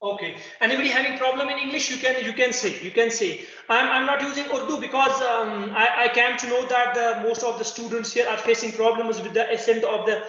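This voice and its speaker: Quick, bright-voiced male teacher. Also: very high-pitched. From Kargil. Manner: repeats key phrases, quizzes students. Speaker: chemistry teacher. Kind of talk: classroom lecture at a whiteboard. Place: classroom with a whiteboard. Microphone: far camera. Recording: echoey room, dull lo-fi sound.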